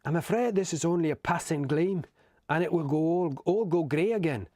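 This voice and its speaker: A man's voice: a little high, moderate pace, a little mellow, dry recording, studio quality, pitched above the performer's own voice, natural voice. A man voicing a cheerful young male hobbit in a light, chatty way. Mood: wistful.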